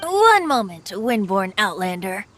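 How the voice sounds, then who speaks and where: A woman playing a boyish bard who is secretly a wind god, speaking in an airy, theatrical, gently teasing way in a video game. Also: mid-range voice, sing-song, a little slow. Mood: playful. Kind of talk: video game character dialogue.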